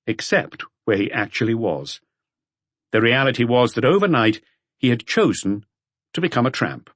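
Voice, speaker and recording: A man reading a mystery audiobook as scripted narration, in a measured storytelling manner. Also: mid-range voice, dry recording.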